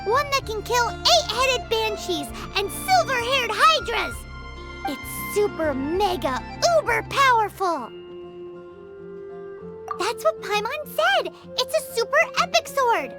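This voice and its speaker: A woman voicing a small, excitable fairy girl companion in a video game, chatty and full of exaggerated enthusiasm. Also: takes it slowly, heavily acted. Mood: eager. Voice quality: high, childlike. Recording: game audio with loud music behind.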